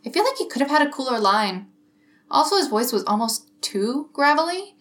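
A woman's voice: sits high, big pitch swings, normal speed, a bit bright. Woman acting a dry, sensible female detective. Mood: dry, skeptical. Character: sensible, skeptical young female police detective.

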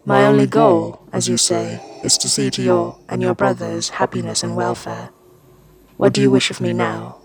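A woman doing a deep, weary masked warlord. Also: lively intonation, gravelly, full character voice. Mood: grave. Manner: formal, measured and quiet. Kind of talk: fan fiction audiobook reading.

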